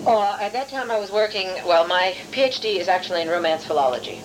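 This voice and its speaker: A woman's voice: rumbling low, noisy background. A middle-aged woman from Hungary, a non-native English speaker, recounting her academic career in a discursive, careful, self-correcting way as an interviewed professor. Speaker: university professor being interviewed. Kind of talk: oral history interview, recollection.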